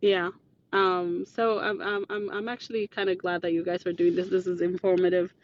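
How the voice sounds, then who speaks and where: A woman from Ethiopia whose native language is Amharic, calling in as a guest. She speaks hesitantly, stammering and filling pauses with ums. Her voice comes through a dull, lo-fi line with faint background noise. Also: on the low side, little pitch movement. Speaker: guest caller.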